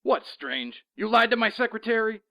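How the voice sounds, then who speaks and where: A man playing an irate, exasperated police lieutenant in an audio drama, gravelly, heard over a phone. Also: sits high, very animated, normal speed, bright, forceful tone, exaggerated voice. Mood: irritated, incredulous.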